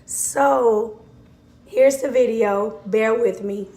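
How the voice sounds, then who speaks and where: A hoarse young woman, a mother, giving a casual how-to demonstration in her kitchen, apologizing for her voice as she works. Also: fairly high pitch, drawn-out words, mellow. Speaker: vlogger mother. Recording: echoey room, faint background noise.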